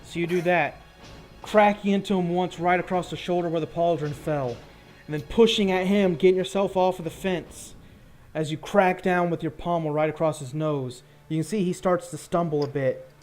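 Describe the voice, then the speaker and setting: A man with a slightly high voice runs a tabletop fantasy game over background music. He improvises his narration in loose, conversational phrasing, painting each action as game master. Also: fairly even intonation, normal speed, a little mellow.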